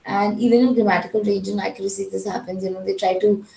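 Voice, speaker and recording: A woman's voice: mid-range voice, little pitch movement, fast talker, vocal fry, warm tone, roomy sound, slight background noise, low-quality recording. A woman from India with a Gujarati English accent, teaching an IELTS speaking lesson in a firm, direct way that repeats key points. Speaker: IELTS tutor. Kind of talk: instructional talk.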